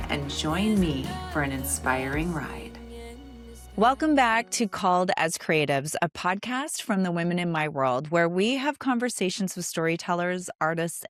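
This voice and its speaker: A woman's voice: fairly low pitch, dry recording, clean studio sound. A woman podcast host introducing and interviewing with an effusive manner.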